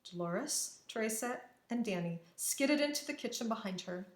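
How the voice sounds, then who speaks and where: A woman reading a children's story aloud with expressive, dramatic narration and careful phrasing, in an echoey room. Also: fairly high pitch, normal speed. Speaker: storybook reader.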